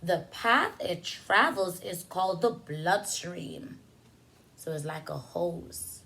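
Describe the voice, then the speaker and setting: A woman preschool teacher reading a picture book aloud to young children, pausing for simple explanations and questions addressed to them. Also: on the low side, lively intonation, gravelly, sharp tone, a little hiss or hum, rough recording.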